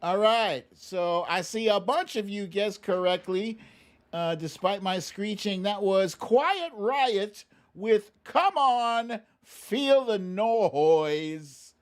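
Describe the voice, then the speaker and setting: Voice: medium pitch, animated, slow. A livestream host chatting casually and reading out names in a playful, informal, self-deprecating way. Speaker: livestream host running a song-guessing game.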